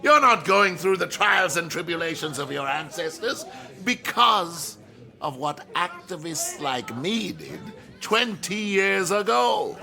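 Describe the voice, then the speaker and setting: An actor playing a provocative, condescending professor speaking emphatically in a radio drama. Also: male voice, sits high, animated, slow pace, vocal fry, a crowd in the background, heavily acted. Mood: defiant, scornful. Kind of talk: radio drama acting, public lecture.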